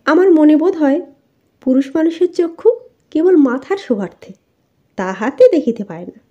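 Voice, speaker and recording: A woman plays a cheeky, bold maidservant from a storybook palace. Her voice is high and mellow, with glib, plain-spoken delivery on a dull recording. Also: expressive, normal speed. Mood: teasing. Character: bold, teasing maidservant of a royal harem. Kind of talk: audiobook character reading.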